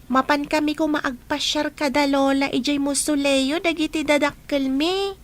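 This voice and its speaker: Woman as a high-voiced, eager little girl. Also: fairly even intonation, normal speed, close to an everyday voice. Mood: eager, affectionate. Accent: Ilocano. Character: affectionate young girl, little sister, eager and caring. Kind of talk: Ilocano radio drama acting.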